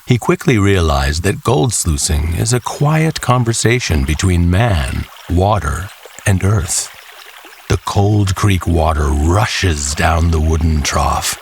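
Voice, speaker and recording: A man narrating a scripted horror western tale in a measured, deliberate way, with music behind him. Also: low voice, lively intonation, gravelly, slight background noise.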